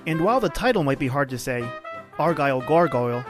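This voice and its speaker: A woman's voice: a bass voice, expressive, a little fast. A woman reading a comedic podcast ad, playful, self-deprecating and tongue-tied. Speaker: sponsor announcer. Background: music with brass and guitar.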